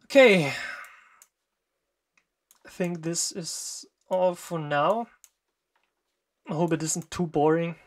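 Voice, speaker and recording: Slow, halting German-accented producer. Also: mid-range voice. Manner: hesitant, halting, many fillers and short pauses. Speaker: music producer. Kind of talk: screen-recorded production walkthrough.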